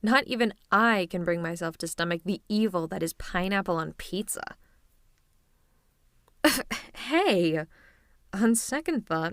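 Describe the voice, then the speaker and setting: A woman's voice: mid-range voice, dry recording, studio quality. A woman playing a playful female supervillain, affectionate and teasing with theatrical menace, in an ASMR roleplay. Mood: playful, casual. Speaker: voice actor. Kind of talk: ASMR roleplay acting, one-sided conversation.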